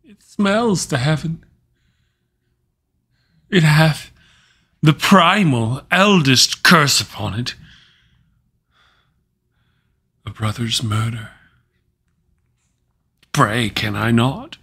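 A man playing a tormented, guilt-ridden usurper king in a Shakespearean stage soliloquy, with dramatic, weighty pauses. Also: mid-range voice, pushed and bright, slightly rough, heavily acted. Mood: brooding guilt.

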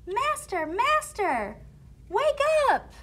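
A shrill storybook magic harp, voiced by a woman, crying out urgently in a very high, swooping voice. There is clear noise in the recording. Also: wildly swooping pitch, drawn-out words, a bit bright, heavily acted. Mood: alarmed. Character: magical golden harp yelling in alarm for its master. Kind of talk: children's fairy tale storytelling.

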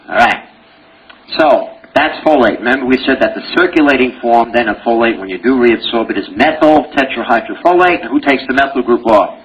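A man's voice: mid-range voice, fairly bright tone, muffled recording, a little hiss or hum. A man teaching a medical exam review lecture, joking and quizzing his audience with rhetorical questions.

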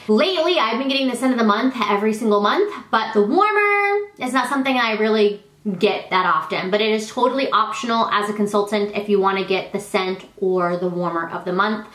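A woman's voice: mid-range voice, echoey room. A woman in her thirties, stuffy from allergies, chatting casually to camera about products she sells. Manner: chatty, self-deprecating, warm toward her child. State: congested from allergies. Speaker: product vlogger and consultant. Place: living room, indoors.

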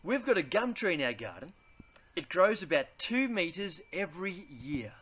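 Aussie guy reading a kids book out loud, kinda high voice, really swoopy and theatrical. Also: big pitch swings, moderate pace, husky. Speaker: read-aloud host. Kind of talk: expressive children's picture book read-aloud.

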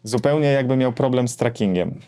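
A man in his thirties explaining a gear comparison conversationally, with hesitant pauses as he thinks. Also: medium pitch, loud music behind the voice. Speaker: gear reviewer and co-host. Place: small home music studio, indoors.

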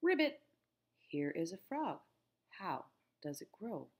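Slow-reading, slightly husky woman teacher. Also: lowish voice, sing-song, a little mellow. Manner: simple, deliberate phrasing, addressing young listeners with questions. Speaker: teacher reading aloud to children. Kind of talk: read-aloud of a children's nature book with questions to listeners.

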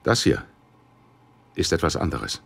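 A middle-aged man of standing, speaks in a deep voice. He is formal and measured, a worried, serious father in an audio drama. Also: big pitch swings, vocal fry, husky, heavily acted. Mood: solemn, ominous. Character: grave, worried Chinese businessman, father of missing daughter. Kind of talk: German radio play dialogue.

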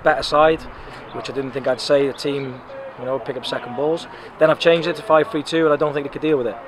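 A man in his thirties, a football club manager, giving a frank, animated post-match interview outdoors beside the pitch, with many "um"s and "you know"s. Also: quick pace, crowd noise. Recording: loud outdoor noise with passing traffic.

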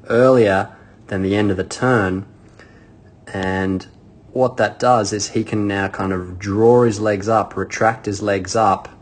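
A man's voice: sits low, slight background noise. A man coaching skiing, talking casually over video footage, thinking aloud with many fillers and restarts. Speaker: ski coach. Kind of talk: casual video breakdown commentary.

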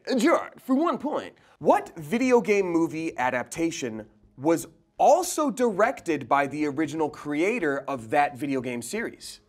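A man's voice: fairly high pitch, big pitch swings, unhurried. A young man with a theatrical, jokey way of talking, playfully hosting a quiz show. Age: young adult. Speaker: game show host. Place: indoor studio set.

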